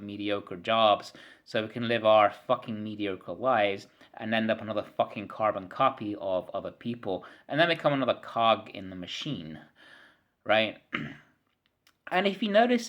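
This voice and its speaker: A man in his mid-thirties talks straight to his camera in a long, frustrated rant. He circles back over his words, stumbles on repeats and swears freely. His throat clearing keeps breaking into the flow. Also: fairly low pitch, dull audio. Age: 30s. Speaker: vlogger. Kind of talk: frustrated ranting monologue to camera.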